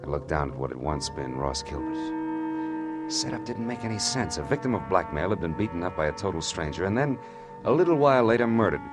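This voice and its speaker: An actor playing a wry, terse, cool-headed hard-boiled private detective who narrates a 1940s radio noir. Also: male voice, low voice, very animated, brisk, loud music behind the voice. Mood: grim, narrating. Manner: terse, wisecracking.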